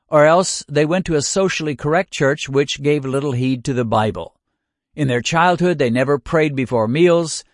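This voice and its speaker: An older man giving a scripted first-person reading, speaking in a deliberate, measured cadence and working through long lists. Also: a little high, no echo, studio quality.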